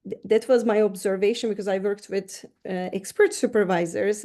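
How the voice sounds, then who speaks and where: Middle-aged woman from Turkey, gravelly and warm, thoughtful interview guest who restarts words. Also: mid-range voice. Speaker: counseling professor, guest. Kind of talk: interview answers.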